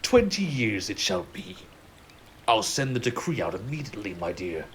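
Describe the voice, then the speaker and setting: Man playing a low-voiced, courtly king. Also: very animated, a bit bright, a little hiss or hum. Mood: stern, reassuring. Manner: formal, courtly, measured. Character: benevolent, commanding king, married, courtly and protective. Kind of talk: scripted romantic audio drama acting.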